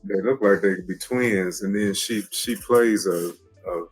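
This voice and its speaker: Husky low-voiced man talking TV shows. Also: measured pace, poor audio. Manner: laid-back, restarts and trails off. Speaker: co-host of a TV discussion show. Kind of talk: casual TV show chat. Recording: faint music behind.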